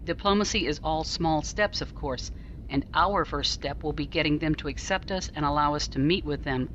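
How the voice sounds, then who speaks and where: A woman playing a commanding starship captain in a fan audio drama, speaking with dry, crisp authority. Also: sits low, normal speed, fairly bright tone, highs cut off, slight background noise.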